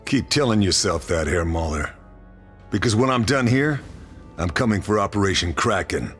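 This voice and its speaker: A grim sniper hero from a wartime video game, with a very low voice. His delivery is terse, grave and resolute, like a mission briefing, over faint music. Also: lively intonation, a bit bright. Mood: cold, threatening. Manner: terse, grave, deliberate phrasing.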